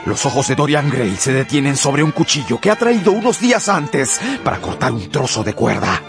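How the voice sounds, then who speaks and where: Male radio drama narrator, low voice, swings all over, intense n theatrical, music behind him. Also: sing-song, vocal fry. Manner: theatrical, intense, deliberate pauses.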